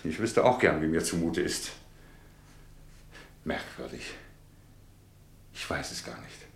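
An actor playing a distraught, bitter middle-aged father in a classic radio play, speaking in halting, introspective phrases. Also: sing-song, creaky, full character voice. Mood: brooding, bewildered. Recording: roomy old radio recording with faint rumble.

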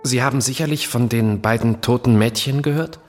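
A man's voice: medium pitch, a little fast, soft background music, natural voice. A man playing an earnest, compassionate 19th-century investigator in an audio drama, formal and concerned. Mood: formal, inquiring. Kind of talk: German audio drama dialogue.

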